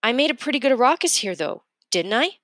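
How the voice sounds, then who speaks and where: An earnest young boy from a storybook, acted out by a woman reading aloud. The voice is lifted high and emphatic, eager and plain-spoken. It sounds close and dry. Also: big pitch swings, quick pace, a bit bright, no echo. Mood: earnest, unsure. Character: earnest, excitable boy, self-doubting young artist. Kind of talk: storybook character dialogue.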